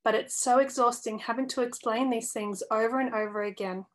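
A young woman earnestly reading a first-person essay aloud for a youth publication, with a steady delivery and small stumbles along the way. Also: on the high side, a little fast. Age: young adult.

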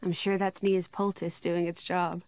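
A woman playing a caring, modest young heroine in a video game, soft-spoken, polite and a little hesitant. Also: lowish voice, flat delivery, measured pace. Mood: gentle concern. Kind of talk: dramatic video game acting. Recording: prominent music behind dialogue.

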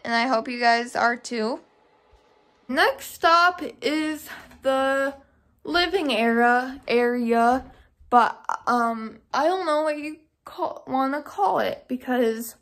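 A young woman chats casually to a camera as she moves through her home. Her voice sits a little high and she talks very slowly. She is hesitant and rambling, working out her thoughts aloud. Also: a bit bright. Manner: hesitant, halting, thinking aloud. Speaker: vlogger giving a house tour. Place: rooms of a home, indoors. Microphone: handheld camera or phone at arm's length.

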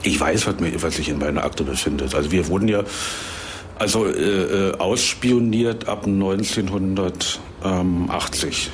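Mature male interviewee, deep, halting recollection, noisy location recording. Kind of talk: spontaneous recollection.

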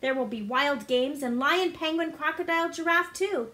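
A woman reading a storybook aloud to young children in an animated, sing-song way, often putting questions to the listeners. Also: sits high, normal speed. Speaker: children's read-aloud presenter. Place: living room indoors. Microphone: device camera a short distance away.

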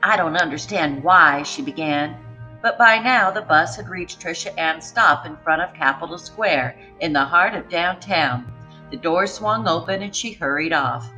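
A woman reading a children's storybook aloud with expressive, dramatic narration. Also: lowish voice, a little slow, faint music behind, low-quality recording.

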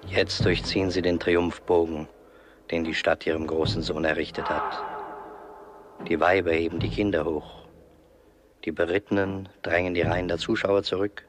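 Low-voiced solemn guy actor narrating a radio drama, some hiss and clicks behind. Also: flat delivery, moderate pace, close to an everyday voice. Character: solemn male chronicler of a Roman funeral. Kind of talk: scripted radio play narration.

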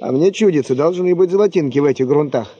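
Folksy know-it-all prospector acted by a man, soft mellow tone, rather high, teasing, dull vintage radio drama recording. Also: quick pace, slight background noise, close to an everyday voice. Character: folksy, nosy, know-it-all gold panning camp hand. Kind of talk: 1970s Soviet radio play acting.

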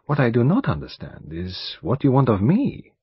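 A man's voice: mid-range voice, wildly swooping pitch, moderate pace, breathy edge, no echo, exaggerated voice. A man reading an audiobook, voicing an elderly Belgian male detective with a French-tinged accent, speaking in a formal, precise way. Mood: measured. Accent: French-tinged English. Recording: dull lo-fi recording.

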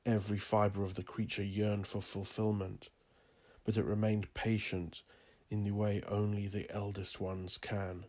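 A man narrating a dark horror story in a brooding, deliberate manner. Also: lowish voice, level tone. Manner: slow, brooding, deliberate delivery.

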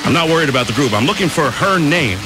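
Lively male radio DJ over music. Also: on the high side, fast talker. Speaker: radio DJ, show host. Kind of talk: live oldies radio show patter. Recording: off-air radio capture, music in the mix.

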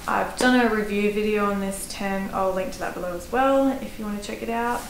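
An Australian woman in her thirties talks straight to the camera in an easygoing, practical way, dropping the odd "um" as she explains her gear. Her voice sits in a middle pitch. The small room gives it a noticeable echo, and a faint background noise hangs behind it. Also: a little fast, vocal fry. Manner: chatty, practical, with fillers. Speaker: hiking vlogger. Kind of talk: casual gear advice to camera.

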